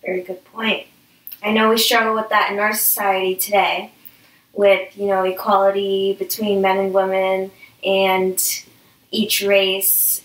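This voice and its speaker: A woman hosting a casual homemade talk show and interviewing a guest, recorded from a distance in a roomy space. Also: medium pitch.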